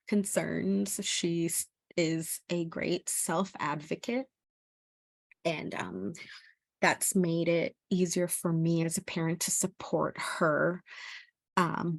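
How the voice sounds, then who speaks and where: A woman's voice: lowish voice, vocal fry, no room sound. A middle-aged woman from El Salvador giving an informal educational presentation as a parent, speaking in a hesitant, earnest way.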